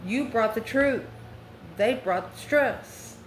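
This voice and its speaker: A woman's voice: a little high, takes it slowly. A woman giving an improvised tarot reading to viewers, thinking aloud conversationally in short phrases. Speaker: tarot reader, channel host. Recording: roomy echo, faint background noise.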